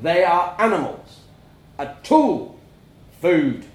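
A man voicing a stern, domineering farmer father who lectures solemnly and erupts into furious shouting. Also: sits high, big pitch swings, slow, roomy sound, voice pushed up, exaggerated voice.

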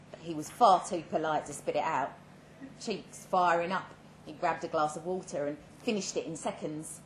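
A woman reading a first-person short story dramatically, measured and with deliberate pauses. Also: lowish voice. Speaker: story reader. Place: live reading event in an echoing room. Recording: roomy live venue with faint background noise.

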